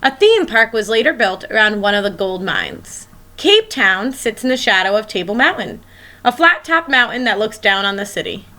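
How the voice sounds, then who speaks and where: A woman's voice: medium pitch, very animated, moderate pace, sharp tone. A woman schoolteacher reading a children's picture book aloud, expressively and as if speaking directly to young listeners.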